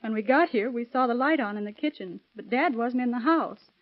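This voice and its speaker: An actress playing a dutiful young daughter, earnest and controlled, in a 1930s radio drama. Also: female voice, on the high side, animated, fairly quick, natural voice. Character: composed young daughter of a murdered man, dutiful. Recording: dull vintage radio recording.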